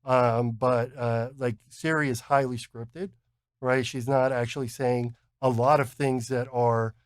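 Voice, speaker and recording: A man's voice: mid-range voice, fairly even intonation, a little slow, clear, resonant tone, dry recording. Stammering man explaining things casually. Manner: stammering, repeats words, says "right?" often. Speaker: podcast co-host explaining technology.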